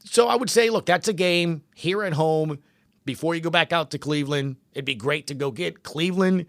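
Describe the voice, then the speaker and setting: A man's voice: a little high, normal speed, creaky, dry recording, clean studio sound. A man hosting a sports podcast, giving casual commentary in emphatic, punchy conversational phrases.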